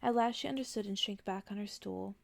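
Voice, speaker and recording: Soft creaky woman reading a bedtime story. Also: mid-range voice, no echo. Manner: hushed, unhurried, slipping into whispers. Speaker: ASMR reader and host.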